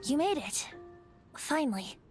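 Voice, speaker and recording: A woman's voice: sits high, very animated, unhurried, faint music behind. A woman voicing a playful, boyish wind god bard in a video game, ancient but youthful, with a lilting, theatrical delivery. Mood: cheerful, teasing. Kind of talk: video game cutscene acting.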